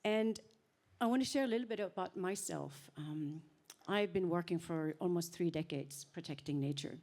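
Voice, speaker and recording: A woman's voice: lowish voice, moderate pace, darkish tone. Earnest woman. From Norway. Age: 50s. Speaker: panel speaker. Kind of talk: personal storytelling on a panel.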